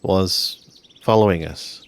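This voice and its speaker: Low, creaky-voiced man in a role-playing game. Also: slow, no room sound. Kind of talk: casual improvised role-play, speaking as and narrating a character.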